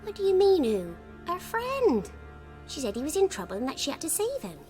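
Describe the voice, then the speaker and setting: A woman's voice: sits high, huge pitch swings, a little fast, a little mellow, faint music behind. A woman voicing an earnest little village girl in a fantasy game, speaking simply and candidly. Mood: frank. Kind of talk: video game voice acting.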